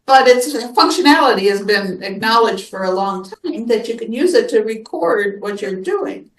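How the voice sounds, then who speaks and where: A woman's voice: mid-range voice, lively intonation, moderate pace. Chatty woman, echoey distant meeting room. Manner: chatty, rambling storytelling with restarts. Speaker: club member presenting. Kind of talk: casual meeting chat. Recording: echoey room, far from the mic.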